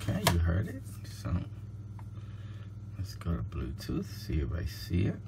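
A man's voice: sits low, huge pitch swings, measured pace. A man giving casual unboxing commentary as a product reviewer, tentative and thinking aloud. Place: indoors, at a kitchen counter. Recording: clearly noisy home recording.

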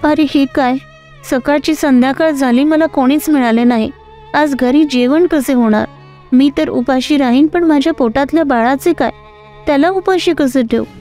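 A woman's voice: moderate pace, mellow, soft background music, lightly acted. A woman voicing a young pregnant rickshaw driver who is meek and pleading, in a cartoon story. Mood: worried. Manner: pleading, high-pitched.

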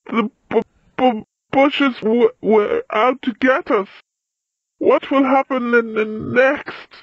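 A woman voicing a timid little male fuzzbuzzer in a children's story, with a nervous, breathy stammer. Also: a little high, steady tone, slow pace, no echo, lo-fi sound, voice pushed up. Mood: frightened, upset.

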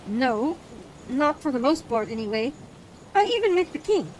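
A male narrator voicing an eager, earnest young boy in a high, light voice for an audiobook. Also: sing-song, moderate pace, a little hiss or hum, poor audio. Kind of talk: audiobook character voice.

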